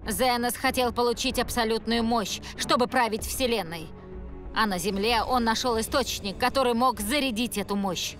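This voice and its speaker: Woman as a high-voiced, serious alien warrior lady. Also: moderate pace, fairly bright tone, faint music behind, lightly acted. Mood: grave, explaining. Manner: earnest, dramatic explaining.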